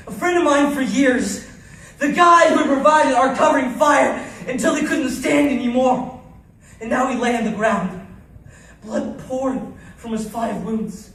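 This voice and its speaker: A man's voice: very high-pitched, heavily acted. A man acting a haunted Navy SEAL survivor in an intense, theatrical first-person account of a deadly battle. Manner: theatrical, intense, swinging from hushed to shouting. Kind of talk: dramatic first-person monologue. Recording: very echoey, distant, faint noise.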